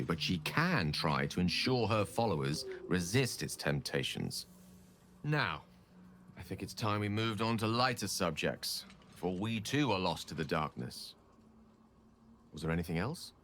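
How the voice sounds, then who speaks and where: Learned wizard, low animated voice. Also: male voice, slight background noise, exaggerated voice. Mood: courteous. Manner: articulate, bookish, formal phrasing. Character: learned, eloquent male wizard, courteous and grave. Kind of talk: video game character dialogue.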